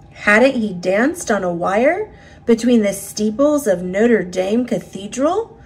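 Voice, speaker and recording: A woman reading a children's picture book aloud in a deliberate, dramatic storytelling manner. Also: fairly high pitch, slightly rough. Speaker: storybook reader.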